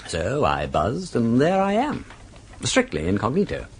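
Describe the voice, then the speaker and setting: An actor playing a suave, breezy aristocratic gentleman sleuth in a radio drama, with an upper-class English accent and a jaunty, mock-formal, theatrical delivery. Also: medium pitch, huge pitch swings, a little slow, a little hiss or hum. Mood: breezy, dramatic. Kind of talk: BBC radio drama acting.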